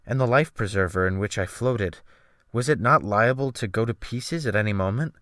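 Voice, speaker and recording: Forceful, bright-voiced man narrating dramatically. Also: on the low side, dry recording, clean studio sound. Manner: theatrical, earnest, deliberate phrasing. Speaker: audiobook narrator. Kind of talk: dramatic first-person literary narration. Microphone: Blue Snowball USB microphone.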